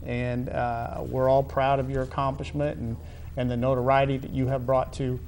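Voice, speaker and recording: A middle-aged American man conducting a conversational sports interview, halting and wordy, often repeating phrases. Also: medium pitch, flat delivery, darkish tone, slight background noise. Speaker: interviewer, high school athletic director.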